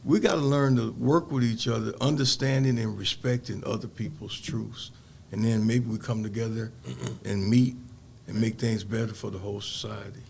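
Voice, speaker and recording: Gravelly older man. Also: on the low side, steady tone, moderate pace, a little mellow, a little hiss or hum. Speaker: guest, community organizer. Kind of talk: podcast interview.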